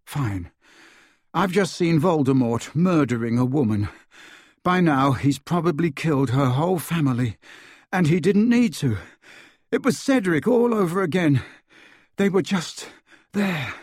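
A man's voice: medium pitch, expressive, a little slow, a little mellow, no room sound, studio quality. A man voicing a stubborn, troubled teenage boy in an audiobook, earnest and defensive.

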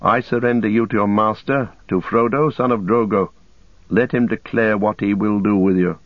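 A man voicing a stern male captain who passes judgment in formal, solemn and deliberate speech. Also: lowish voice, fairly even intonation, no echo, lo-fi sound, natural voice. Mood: stern, grave. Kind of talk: audiobook character voice.

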